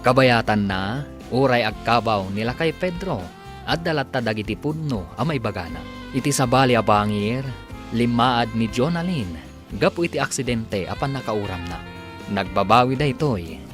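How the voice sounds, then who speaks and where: Animated male radio serial announcer over music. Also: mid-range voice, normal speed. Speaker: announcer and narrator. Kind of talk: dramatic scripted radio serial narration. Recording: music behind the voice.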